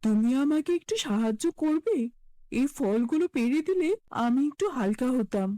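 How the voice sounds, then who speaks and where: A woman voicing a weary, motherly talking mango tree in a gentle, pleading manner for a children's fairy-tale cartoon. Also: high-pitched, animated, moderate pace, no echo.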